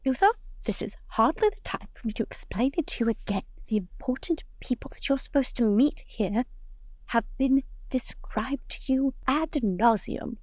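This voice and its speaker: Woman playing a high-voiced, proper society lady. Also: lively intonation, normal speed, darkish tone, dry recording. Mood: poised, mildly exasperated. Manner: formal, restrained, clipped politeness. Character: poised, status-minded society lady, quietly exasperated.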